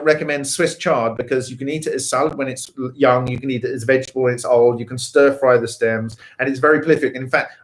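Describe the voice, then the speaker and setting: A man chatting casually as host, often repeating and restarting words, emphatic when he makes a point. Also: medium pitch. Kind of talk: casual chat. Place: home garden setting.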